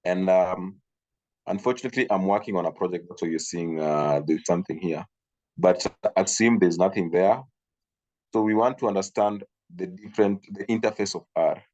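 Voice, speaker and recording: A man from Kenya teaches a class over a video call. His voice sits very low. He talks haltingly, with fillers and repeated words. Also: darkish tone, highs cut off. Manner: hesitant, repeats himself, frequent fillers. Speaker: instructor. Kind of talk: online training session.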